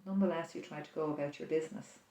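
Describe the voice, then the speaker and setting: A prayer leader talks the listener through a quiet, imagined scene. The pace is slow, with reflective pauses, and the voice stays flat and gentle. It is recorded from a distance in a room with a strong echo. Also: medium pitch. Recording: roomy echo, distant mic.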